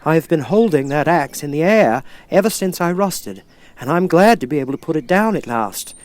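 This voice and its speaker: Man voicing a courteous tin man, raised pitch, fuller and louder than the narration, plaintive. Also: lively intonation, moderate pace, close to an everyday voice. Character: polite, grateful man made of tin.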